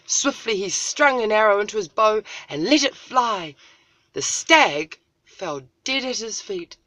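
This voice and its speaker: A woman from New Zealand reading a children's story aloud as host, dramatic, with deliberate pauses and emphasis. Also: medium pitch, expressive, bright, forceful tone, no echo.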